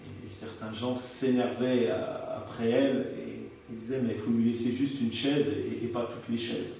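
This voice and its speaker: A man's voice: medium pitch, steady tone, normal speed, vocal fry, slight background noise. A male preacher gives a frank, conversational sermon, stumbling now and then over his words. His voice rings through a very echoey church, heard from a distance on a dull, muffled recording.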